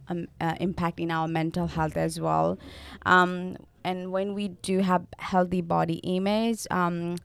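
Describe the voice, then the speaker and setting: A young woman from Nepal co-hosts a casual podcast, chatting with plenty of fillers and restarts. Her voice is gravelly yet smooth. The sound is dry and close. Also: on the low side, no room sound. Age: young adult. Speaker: podcast co-host. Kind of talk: casual chat.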